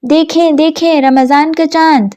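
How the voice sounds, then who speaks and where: A woman voicing an eager, excitable little boy in a children's story, calling out urgently and repeating words. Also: high voice, pitched above the performer's own voice, lightly acted. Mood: excited, shouting.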